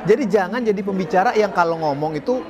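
A man talks to camera as a public speaking coach, upbeat and chatty, laughing now and then at his own slips. His voice sits a little high for a man. A clear murmur of people carries behind him outdoors in the city. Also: expressive, quick pace, a crowd in the background. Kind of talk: motivational talk to camera.

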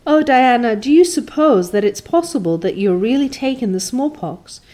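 A woman's voice: animated, moderate pace, dark tone, natural voice. A woman voicing a dramatic, tearful young orphan girl who wails and sobs theatrically. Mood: pleading. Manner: wailing, theatrical, high and sobbing.

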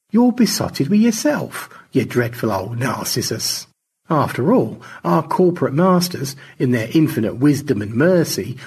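A man's voice: medium pitch, a little mellow, breathy edge, pitched above the performer's own voice. A man voicing an irritable, sardonic space crewman in a storybook, teasing and needling.

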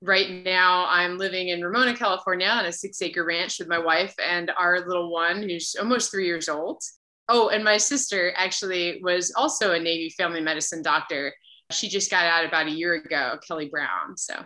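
A woman's voice: on the low side, steady tone, moderate pace, bright, forceful tone, rough recording. An American woman in her thirties telling her own story conversationally as an interview guest. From Massachusetts, United States. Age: 30s. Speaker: podcast guest, military physician.

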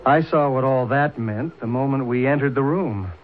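An actor playing a proud, bitter, sensitive young gentleman who speaks gravely and formally in an old-time radio drama. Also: medium pitch, normal speed, close to an everyday voice. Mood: bitter, brooding. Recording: narrow-band, noisy old radio recording.

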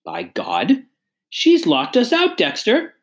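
A reader putting on a shrill, haughty, frantic mother. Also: wildly swooping pitch, takes it slowly. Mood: imperious, angry. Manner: imperious, agitated, sharp. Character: haughty, frantic, shouting upper-class mother.

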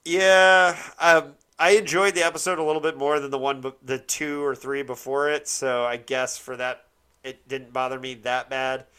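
A man's voice: medium pitch, little pitch movement, normal speed, bright, forceful tone, smooth. A man hosting a casual discussion, rambling and correcting himself as he searches for words. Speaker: podcast host. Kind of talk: casual chat, TV show discussion.

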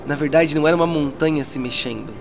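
A man's voice: a little high, quick pace, vocal fry. A man narrating a tabletop game as game master, describing scenes dramatically. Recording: with music bed.

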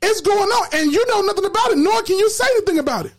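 A woman's voice: extremely high pitch, expressive, rapid-fire. A woman hosting a podcast in casual, colloquial talk, emphatic and preachy, repeating words when fired up. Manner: colloquial slang. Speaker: podcast host.

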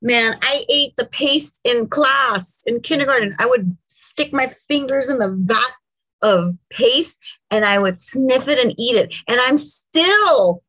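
Lady in her 40s, spanish first language, joking around on a podcast, kinda high voice, laughs a lot. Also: measured pace, slightly rough, low-quality recording. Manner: animated, jokey, laughs often. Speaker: podcast co-host. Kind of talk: casual chat.

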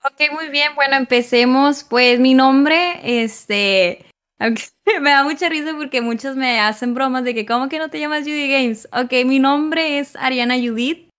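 A woman's voice: high voice, unhurried, fairly bright tone. A woman, answering interview questions hesitantly with many fillers. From Mexico. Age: 20s. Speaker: content creator guest.

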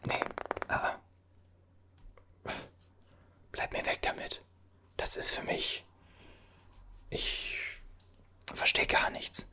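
A performer whispering as a bewildered time traveler from an older era, puzzling over strange objects in a halting voice full of restarts. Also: pushed and bright. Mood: puzzled. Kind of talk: whispered ASMR roleplay.

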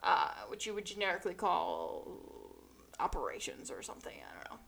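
A woman's voice: fairly low pitch, very animated, brisk, gravelly, a bit bright, a little hiss or hum. A young woman from New Jersey co-hosting a podcast in casual chat, rambling and self-mocking and laughing often as she talks. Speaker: podcast co-host.

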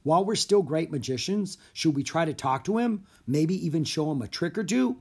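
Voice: mid-range voice, animated, a little fast, a little mellow, husky, voice pushed up. A narrator voicing a cheerful, confident young girl magician who is eager to help, in a children's audiobook.

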